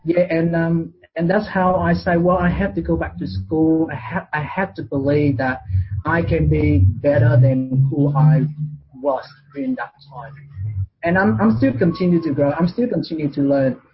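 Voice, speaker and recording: A man's voice: a little high, normal speed, dull audio, slight background noise. A man in his forties from Vietnam with a Vietnamese accent, talking as an interview guest in a casual, hesitant way with many fillers and restarts. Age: 40s.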